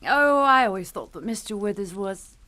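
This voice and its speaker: A woman's voice: mid-range voice, expressive, normal speed, pushed and bright. An actress playing an anxious, polite English mother and hostess in a radio suspense drama, genteel and fussing. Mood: anxious politeness. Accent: British.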